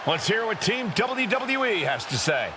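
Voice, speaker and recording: An excited man calls wrestling action play by play with emphatic energy. His voice rides very high. Loud noise runs underneath. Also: moderate pace. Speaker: wrestling commentator. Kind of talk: play-by-play commentary.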